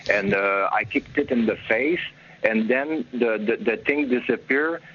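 A man from Montreal calling in to a radio show, telling his story haltingly, with many stammers and restarts. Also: medium pitch, slightly rough, highs cut off. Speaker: caller. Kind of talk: phone call-in story.

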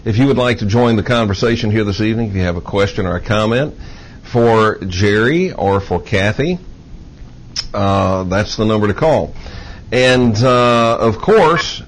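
Low-voiced male talk show host. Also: a little hiss or hum. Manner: brisk, friendly, reads phone number. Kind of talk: call-in talk show hosting.

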